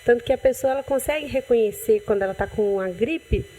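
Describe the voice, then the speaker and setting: A woman doctor with a Brazilian accent, calmly explaining health matters in a TV interview, often restarting her sentences. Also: a little high, a little fast. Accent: Brazilian Portuguese. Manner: calm, explanatory, with frequent restarts and "né". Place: TV studio.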